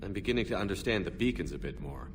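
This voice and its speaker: An actor playing a starship commander in a video game cutscene, asking blunt, dry questions. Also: male voice, low-pitched, animated, fast, distant mic, over music.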